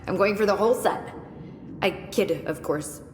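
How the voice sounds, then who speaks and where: An actress playing a witty, curious young research scientist in a video game, explaining things in a precise, wry, chatty way. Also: female voice, mid-range voice, normal speed, natural voice. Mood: chatty, playful. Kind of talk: video game dialogue acting. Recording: echoey hall reverb with noisy game ambience.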